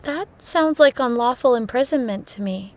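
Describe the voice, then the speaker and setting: Casting: uneasy, earnest woman tourist, somewhat high voice, hesitant, horror audio drama. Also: expressive, normal speed, a little mellow. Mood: wary, reluctant.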